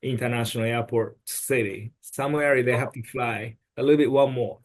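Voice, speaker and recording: Brief: male podcast guest, phrasing, sincere and advice-giving, at a middle pitch. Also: steady tone, normal speed. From Japan. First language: Japanese. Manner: earnest, advice-giving, with non-native phrasing. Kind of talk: podcast conversation.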